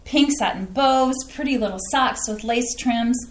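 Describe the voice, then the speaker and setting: A woman's voice: fairly high pitch, measured pace, a little hiss or hum, lightly acted. An actress playing a fussy, affectionate small-town mother who gushes and flusters, in a staged play reading. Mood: fond. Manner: flustered, gushing, exclamatory. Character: warm fussy small-town mother, middle-aged woman. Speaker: actor playing Claire, the mother. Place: community playhouse stage. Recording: echoey stage from a distance.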